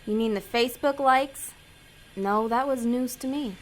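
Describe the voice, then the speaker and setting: A woman as a bossy, high-voiced scheming demon. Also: a little slow, natural voice. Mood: impatient. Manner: blunt, slangy, impatient scolding. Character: bossy, scheming, sharp-tongued female demon. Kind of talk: audio drama acting.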